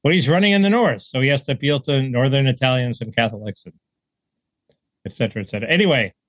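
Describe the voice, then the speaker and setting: A man's voice: mid-range voice, expressive, quick pace. A man with a mid-range voice talking politics in a wry, joking way and laughing between his points. The room is dry and close, with no echo around him. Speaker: podcast co-host. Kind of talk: casual political chat.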